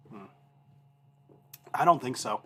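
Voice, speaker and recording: Male host, 30s, mid-pitched voice; informal livestream chat, loose anecdotal delivery that wanders and doubles back. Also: expressive, takes it slowly, pushed and bright, breathy edge. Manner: informal, rambling, self-interrupting. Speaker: whiskey review show host.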